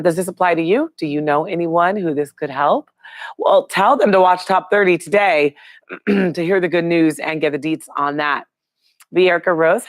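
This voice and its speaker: A woman, hoarse and raspy from a cold, hosting a live news chat and greeting viewers by name. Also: low voice, dry recording. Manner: chatty, upbeat, throws questions to viewers. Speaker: live show host.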